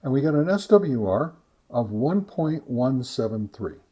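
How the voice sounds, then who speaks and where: A man narrating a casual product test as a review host, talking step by step in a halting way. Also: medium pitch, very animated, mellow, breathy edge. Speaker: host of a ham radio gear review channel.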